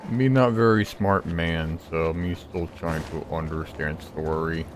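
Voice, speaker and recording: A man's voice: low-pitched, fairly even intonation, a little slow, background noise. A man putting on a dim-witted, childishly bloodthirsty caveman who talks in broken grammar. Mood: goofy menace.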